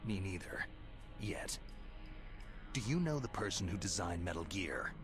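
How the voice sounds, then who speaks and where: A world-weary special forces operative from a video game. His voice is very low, gravelly and breathy, with stern, clipped, commanding delivery. Prominent music plays behind him. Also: lively intonation, a little slow. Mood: guarded. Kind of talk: dramatic video game voice acting. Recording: game audio under background music.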